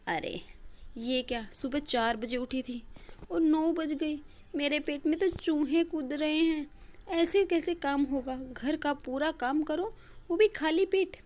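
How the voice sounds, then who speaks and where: A woman's voice: high-pitched, takes it slowly, darkish tone, voice pushed up. A woman voicing a whiny, food-loving young daughter-in-law in a story cartoon, complaining indignantly and defiantly.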